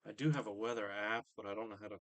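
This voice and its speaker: A man's voice: lowish voice, very fast, a bit bright, no room sound. A man hosting a live stream in a casual, distracted, muttering way.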